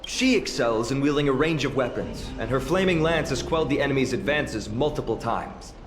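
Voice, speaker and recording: A confident young hero warrior from a video game, acted by a man. His mid-pitched voice moves expressively and sounds courteous, over background music. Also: lively intonation, fairly quick, close to an everyday voice. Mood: confident, friendly. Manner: courteous, self-assured. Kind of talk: English video game voice acting. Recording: echoey, music underneath.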